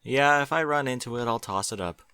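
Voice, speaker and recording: A man's voice: medium pitch, a little fast, pushed and bright, clean tone, dry recording, studio quality. A man voicing a young male dragon who grumbles in a laid-back, slightly surly way. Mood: casual. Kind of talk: audiobook character voice.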